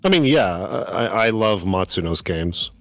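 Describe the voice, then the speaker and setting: A man with a very deep, low voice, talking as a guest on a casual live stream. He is thoughtful and easygoing and often pauses to find his words. Faint music plays behind him. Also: very animated, a bit bright. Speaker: podcast guest.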